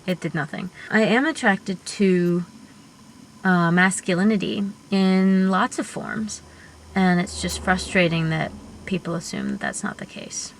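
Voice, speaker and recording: Young female-bodied genderqueer vlogger, high voice, slow earnest pace, reflective and thoughtful, home video-diary delivery. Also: vocal fry, fairly bright tone, poor audio. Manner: reflective, earnest, thinking aloud with fillers. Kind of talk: personal vlog monologue.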